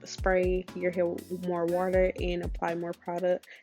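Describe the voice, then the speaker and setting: A young woman with a slightly raspy voice, chatting casually and in a relaxed way through a hair-care tutorial as a beauty vlogger. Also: fairly low pitch, unhurried, soft background music.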